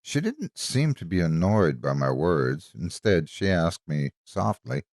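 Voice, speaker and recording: Male story narrator, low register, highly varied intonation, measured dramatic delivery. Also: very animated, creaky, a little mellow, no room sound, studio quality.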